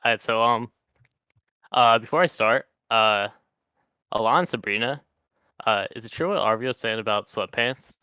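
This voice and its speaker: A twenty-year-old man calls in to a livestream. He stumbles through his question with lots of fillers. His voice is bright and clean, and the sound is dry. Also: mid-range voice, clean tone, no room sound. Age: 20s. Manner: halting, many fillers. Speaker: caller. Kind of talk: call-in on a stream.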